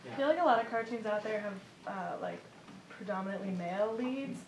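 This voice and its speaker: A woman casually asking questions from the audience in a halting, tentative way. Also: mid-range voice. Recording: echoey room with background noise.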